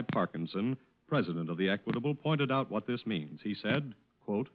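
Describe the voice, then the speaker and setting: An American man announcing from a script as a 1940s radio sponsor's spokesman, formal and emphatic. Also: mid-range voice. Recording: dull old radio broadcast with music. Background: music.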